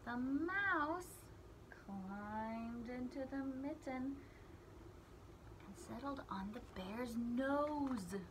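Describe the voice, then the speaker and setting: A woman's voice: fairly high pitch, lively intonation, extremely slow, noisy background. A young woman reading a picture book aloud to small children, in simple phrases with emphasized key words. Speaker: children's read-aloud storyteller.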